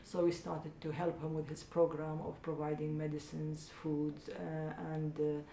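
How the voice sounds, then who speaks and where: A woman's voice: completely flat delivery, a little slow, creaky, mellow. A middle-aged woman from Iraq answering interview questions about her charity, speaking earnestly with many hesitations. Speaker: charity founder. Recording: echoey room with faint rumble.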